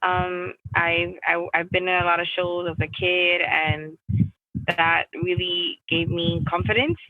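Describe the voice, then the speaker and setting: A woman's voice: on the low side, little pitch movement, unhurried, no echo, phone-quality sound. A young adult woman from Miami, answering interview questions in a casual, rambling way with many restarts and "like" fillers. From United States (Miami). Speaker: guest artist.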